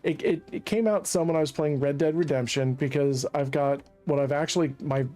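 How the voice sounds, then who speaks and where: A man's voice: on the high side, fairly quick, dark tone. Streamer, male, from Central Virginia; rambling joker, faint music underneath. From Central Virginia, USA. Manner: rambling, chatty, laughs at his own jokes. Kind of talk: casual gameplay commentary.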